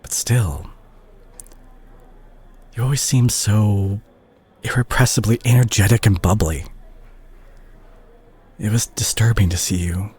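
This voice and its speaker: A man playing a remorseful, tender doctor ex-boyfriend in an intimate audio roleplay, speaking softly and hesitantly to the listener. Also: fairly low pitch, steady tone, slow pace, long pauses, breathy edge, full character voice. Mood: shaken, regretful. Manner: hesitant, gentle, self-conscious, sighing. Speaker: audio drama voice actor.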